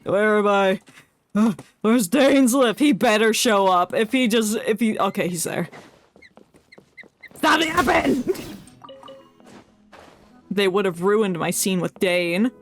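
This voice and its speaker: A woman's voice: mid-range voice, expressive, takes it slowly, long pauses, faint music behind. A woman streaming a game with casual, slangy, joking commentary, reacting to the story. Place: streaming setup, game audio behind.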